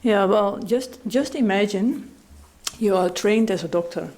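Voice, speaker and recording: Woman guest on a TV panel, mellow and dark tone, thoughtful, pausing often. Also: mid-range voice. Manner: thoughtful, many fillers and pauses. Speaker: panel guest, medical anthropologist. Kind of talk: studio talk show discussion. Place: TV studio.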